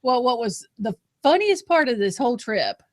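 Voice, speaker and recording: A woman's voice: a little high, very animated, normal speed, a little mellow. A woman, co-hosting a podcast and chattily telling casual anecdotes. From North Carolina, US South. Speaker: podcast co-host.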